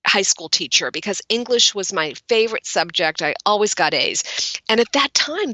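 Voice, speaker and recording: Bright-voiced woman, crisp and articulate. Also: mid-range voice, no room sound. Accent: General American. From Brooklyn, New York. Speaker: executive speech coach guest. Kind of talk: podcast interview.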